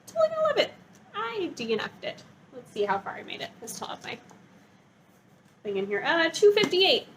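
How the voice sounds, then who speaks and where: Woman vlogger, kinda high voice, gushy and chatty, super informal, bit of noise behind her. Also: fairly bright tone. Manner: gushing, enthusiastic, informal. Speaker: book review vlogger. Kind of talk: casual chatty vlog.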